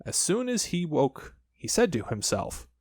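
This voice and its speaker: Expressive male storybook narrator, lively pitch. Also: unhurried, husky, no room sound, studio quality. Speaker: audiobook narrator. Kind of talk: scripted children's story narration.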